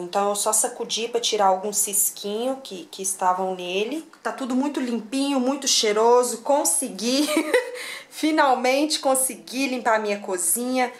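Woman, Brazilian Portuguese accent, thirties, chatty home vlogger, talks to viewers while cleaning, handheld camera in kitchen. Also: on the high side. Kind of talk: casual home vlog narration. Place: home kitchen, indoors, medium-sized. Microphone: handheld camera, moved around the room.

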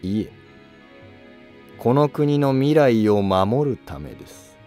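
A man playing a calculating, courteous court noble in a historical drama, polite, measured and self-assured. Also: mid-range voice, sing-song, dark tone, faint music behind. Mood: composed, resolute.

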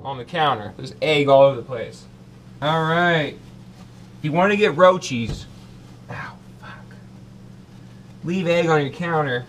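A man's voice: fairly high pitch, unhurried, pauses often, noisy background. A young man in his twenties, brash and boastful, hosting a comedic cooking show from a small kitchen. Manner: brash, boastful, loud whoops.

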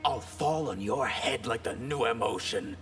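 An actor voicing a proud, humorless water mage in a video game, with a formal, menacing manner. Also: male voice, medium pitch, measured pace, a bit bright, faint music behind, lightly acted. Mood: haughty menace. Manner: grave, formal, haughty. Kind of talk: video game fight-intro banter.

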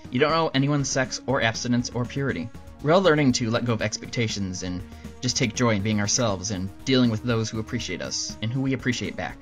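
A man hosting a scripted question-and-answer show, narrating answers in a dry, deadpan manner. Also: medium pitch, a little fast. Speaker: host answering viewer questions. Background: music under the voice.

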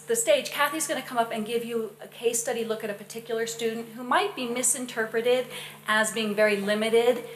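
A woman's voice: on the high side, fairly bright tone. Casting: middle-aged woman, friendly lecturer at a podium mic, roomy hall, faint hiss. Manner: friendly, measured speaking with fillers. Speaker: education researcher and presenter. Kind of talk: public lecture. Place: wood-panelled lecture hall. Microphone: podium microphone.

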